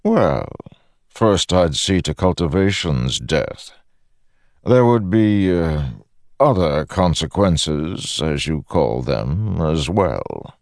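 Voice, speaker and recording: Ancient fantasy god, male narrator in character, paternal menace, theatrical, unhurried, widely swinging pitch. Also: lively intonation, creaky, darkish tone, no echo, clean studio sound, heavily acted. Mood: soothing, calculating. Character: ancient malevolent god, smiling, paternal and smooth-talking. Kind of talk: audiobook fantasy dialogue.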